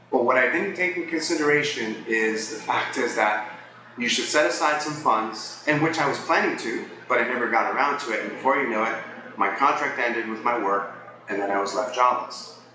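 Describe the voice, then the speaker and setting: A man giving casual, explanatory advice to viewers in a conversational way, as a vlogger. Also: medium pitch. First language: English. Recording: echoey space with crowd chatter behind.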